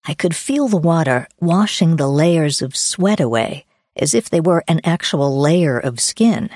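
Low, husky woman narrating a memoir. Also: expressive, a little slow, darkish tone, no echo, studio quality. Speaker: audiobook narrator. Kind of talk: scripted first-person memoir narration.

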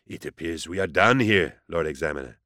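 A man voicing an amiable but menacing interrogator in an audiobook, measured, courtly and sardonic, with theatrical mockery. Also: a bass voice, animated, pushed and bright, no echo, clean studio sound, exaggerated voice. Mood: mock courtesy. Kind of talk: audiobook character acting.

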